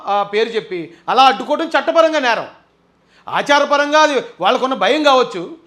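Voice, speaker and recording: A man's voice: very high voice, a bit bright. A man giving impassioned live political commentary, emphatic and argumentative, putting rhetorical questions to viewers.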